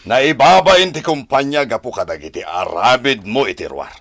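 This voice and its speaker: An actor playing a stern, reproving company boss with an Ilocano accent in a radio drama. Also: male voice, medium pitch, animated, moderate pace, a bit bright, dry recording.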